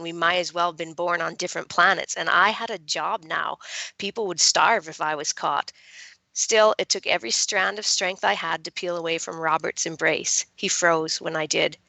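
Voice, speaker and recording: A woman reading a young adult novel as its guarded, wry teenage girl narrator, with measured expression. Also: lowish voice, gravelly, sharp tone, no room sound, rough recording.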